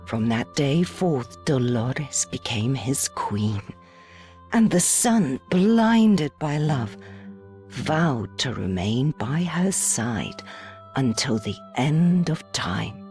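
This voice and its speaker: A woman's voice: very deep voice, sing-song, drawn-out words, a little mellow, faint music behind, full character voice. A woman playing a solemn, reverent storyteller, narrating a legend dramatically in a video game.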